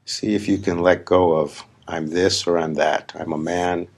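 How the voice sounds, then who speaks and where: A man leading a guided meditation as a spiritual teacher, speaking in deliberate, emphatic, repeated phrases with long pauses. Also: deep voice, steady tone, vocal fry, husky. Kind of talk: slow guided meditation talk. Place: live gathering.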